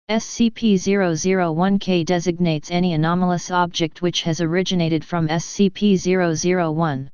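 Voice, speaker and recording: Smooth, crisp woman narrator, slightly low. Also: a little mellow, no echo, lo-fi sound. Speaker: narrator reading a fictional archive document. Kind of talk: scripted narration, formal document reading.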